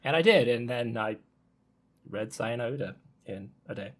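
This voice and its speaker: A man on a podcast panel sharing casual anecdotes, pausing often with ums. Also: mid-range voice, animated.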